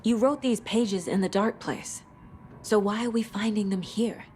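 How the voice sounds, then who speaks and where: An actress playing a level-headed, businesslike federal investigator in a video game. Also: female voice, medium pitch, steady tone, unhurried, very noisy, lightly acted. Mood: firm, businesslike. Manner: businesslike, firm. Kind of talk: cinematic video game acting.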